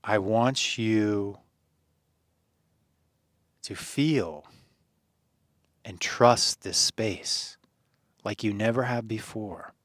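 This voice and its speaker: Slow, low-pitched man guiding meditation. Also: fairly bright tone, no room sound, clean studio sound. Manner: soothing, earnest, deliberate phrasing with pauses. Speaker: guided meditation teacher. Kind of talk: guided meditation, gentle encouragement.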